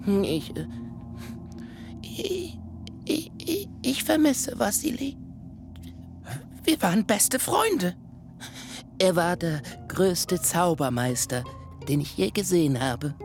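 A man playing a creepy, jumpy circus clown in a radio play, with an odd, halting manner. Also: high voice, very animated, creaky, soft background music, heavily acted. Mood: nervous, evasive.

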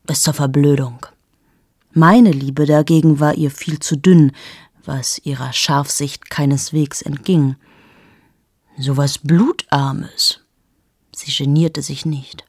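An actress in her thirties playing a cynical, sharp-tongued journalist in a radio play monologue, speaking in sardonic, confiding asides to the listener. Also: low-pitched, fairly even intonation, slow pace, slightly rough, no echo, studio quality, full character voice. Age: 30s. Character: cynical 38-year-old woman journalist, sharp-tongued, mocking.

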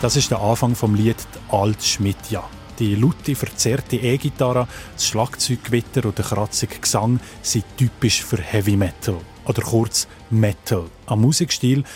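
A man's voice: mid-range voice, a little mellow. A man with a Swiss German accent narrating a scripted radio report as its reporter.

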